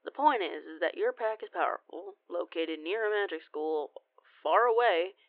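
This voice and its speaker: A woman's voice: lowish voice, normal speed, no room sound. A woman playing a cheeky, rambling young female werewolf cousin in an audio roleplay phone call, sheepish, folksy and a bit foul-mouthed. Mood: frank, weary. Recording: tinny band-limited phone line, very dry.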